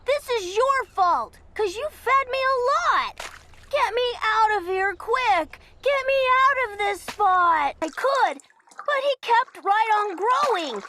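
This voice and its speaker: A woman with a very high voice reads a children's story aloud. Her delivery is slow and dramatic, with lively swings in pitch. There is clear noise behind her. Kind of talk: expressive children's picture book read-aloud.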